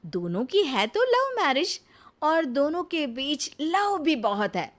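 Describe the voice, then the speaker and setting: A woman's voice: sits high, very animated, slow pace. A woman giving scripted narration of a cartoon story as its narrator.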